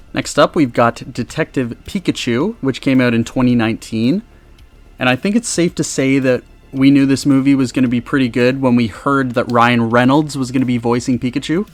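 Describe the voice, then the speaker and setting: A man's voice: medium pitch, fairly bright tone, no room sound. A man hosting a countdown review in a casual, chatty, enthusiastic way, speaking close to the microphone. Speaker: video host and reviewer. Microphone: close USB microphone.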